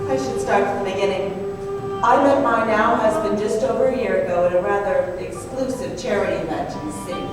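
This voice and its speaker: A woman playing a troubled, sheltered, rich young wife in an old-time radio drama, prim and halting with melodramatic touches. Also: medium pitch, close to an everyday voice. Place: live stage performance in an echoey room. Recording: echoey hall, distant mic, clear noise.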